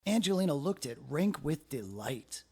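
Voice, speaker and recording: A man narrating a children's story aloud in an expressive, deliberate way with dramatic phrasing. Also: on the high side, very noisy.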